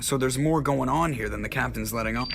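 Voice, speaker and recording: An actor playing a cocky young starship pilot in a video game, wry, flippant and sardonic. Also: male voice, mid-range voice, fairly quick, vocal fry, background noise, close to an everyday voice.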